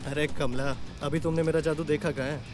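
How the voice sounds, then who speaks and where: Actor as a cocky young trainer, fast-talking. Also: male voice, on the high side, steady tone, lightly acted. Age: young adult. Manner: curt, impatient. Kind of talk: naturalistic screen drama acting.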